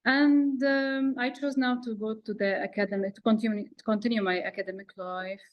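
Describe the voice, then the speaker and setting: A woman's voice: fairly high pitch, fairly even intonation, brisk. A woman from Lebanon speaking English as a second language, introducing herself informally and a little hesitantly on a video call. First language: not English. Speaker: master's student, former TV news reporter.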